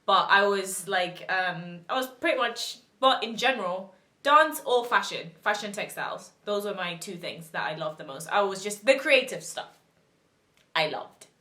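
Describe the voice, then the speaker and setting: A woman's voice: mid-range voice, animated, moderate pace, sharp tone, echoey room. A woman in her twenties from Zimbabwe, chatting casually and giggly in a get-to-know-me vlog. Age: 20s. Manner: chatty, giggly, self-deprecating. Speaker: YouTuber.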